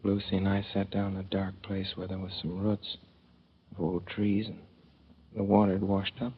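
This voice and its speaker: A man's voice: low voice, monotone, moderate pace, slightly rough. An actor playing an earnest, lovesick young racetrack worker, telling his story in folksy slang for a 1930s radio drama. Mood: wistful. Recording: dull old radio recording with music.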